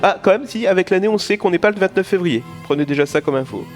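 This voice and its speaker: Man playing a rambling, higher-pitched comic narrator. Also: lively intonation, fast, faint music behind. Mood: mock indignant. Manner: digressive, mock-nostalgic, chatty. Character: rambling, grumbling, self-mocking comic narrator. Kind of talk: comic narration in audio drama.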